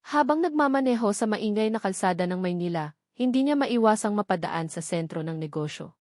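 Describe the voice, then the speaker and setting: A woman's voice: medium pitch, animated, a little fast, a little mellow, no echo. Filipino woman narrating in a dry recording. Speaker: story narrator. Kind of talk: scripted dramatic love story narration.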